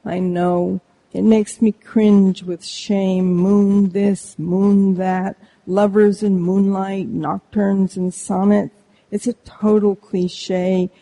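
A woman voicing a glum, world-weary moon that talks itself down in a slow, mournful way. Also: medium pitch, flat delivery, dark tone, poor audio.

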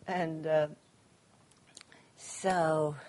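Slow, halting woman artist lecturing. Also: deep voice, a little mellow, slight background noise. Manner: halting, many fillers and restarts. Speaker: artist giving a talk. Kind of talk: hesitant lecture on her paintings.

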